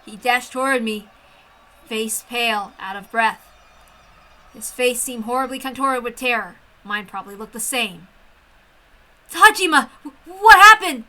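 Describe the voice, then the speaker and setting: Woman reading a dark story out loud, kinda high n really bright voice, dramatic and intense. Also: normal speed, low-quality recording. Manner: expressive, intense. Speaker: amateur story reader. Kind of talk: dramatic first-person story narration.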